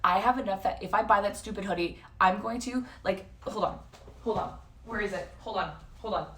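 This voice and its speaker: A woman's voice: mid-range voice, fairly quick, bright, forceful tone, far from the mic, slight background noise. Young North American woman, slightly off-mic. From San Jose, California and Toronto, Canada. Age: early 20s. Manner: rambling, informal, slangy, self-interrupting. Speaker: livestreamer. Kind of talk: casual chat to livestream viewers.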